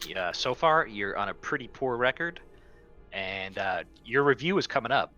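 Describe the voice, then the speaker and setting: Guy playing an rpg, dry jokey, animated bright voice, faint music under it. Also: medium pitch, moderate pace, lo-fi sound. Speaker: player in a tabletop game. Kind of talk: casual in-character table talk.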